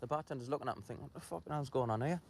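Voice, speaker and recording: An actor playing a crude soldier who tells a pub joke in a lively, animated way. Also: male voice, mid-range voice, very fast, creaky, heavy background noise, close to an everyday voice. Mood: joking. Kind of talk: film dialogue.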